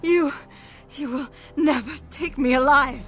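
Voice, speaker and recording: A woman's voice: high-pitched, measured pace, soft background music. An actress voicing a fierce, defiant, vengeful female elf in a fantasy video game, with intense delivery. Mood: furious, defiant. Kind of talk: video game character acting.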